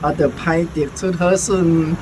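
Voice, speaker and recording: A man's voice: a little high, fairly even intonation, a little slow, background noise, close to an everyday voice. A Taiwanese man playing a good-humoured, chatty sign-shop boss in a radio drama.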